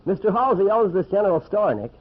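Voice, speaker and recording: High, husky, jaunty sidekick. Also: male voice, very animated, fast. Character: breezy, cheerful male pal of a detective. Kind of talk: old-time radio mystery acting. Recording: muffled old radio recording.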